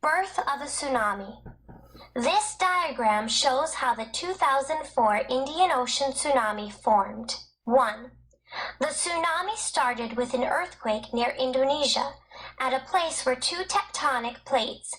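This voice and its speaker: High-voiced woman reading educational narration. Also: animated, slow, fairly bright tone, poor audio. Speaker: textbook narrator.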